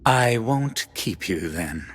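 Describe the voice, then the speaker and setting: A man's voice: fairly low pitch, animated, a bit bright, husky, full character voice. A man playing a dignified, shrewd and courteous senior churchman in a video game, speaking formally and without hurry. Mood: courteous, knowing. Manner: unhurried, formal, stately. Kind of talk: video game character acting. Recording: echoing hall, faint background noise.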